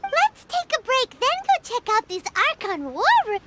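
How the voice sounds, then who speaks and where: A woman voicing a tiny, cheeky, excitable childlike female sprite in a video game, with a squeaky voice. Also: normal speed, noisy background, full character voice. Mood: admiring.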